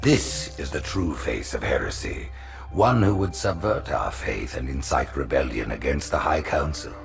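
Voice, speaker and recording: A grave old alien holy leader from a science fiction game. The voice is extremely deep and crackles with fry. He talks in slow, pompous, solemn phrases, with soft music behind him. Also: big pitch swings, exaggerated voice. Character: grave, imperious old male alien prophet.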